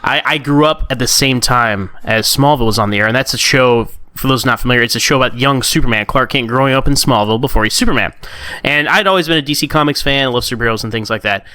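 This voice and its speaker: Male, early 30s, chatty fan-podcast guest. Bright, clean voice, close dry sound. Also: mid-range voice, quick pace, no echo. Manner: enthusiastic, chatty. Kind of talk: casual fan chat.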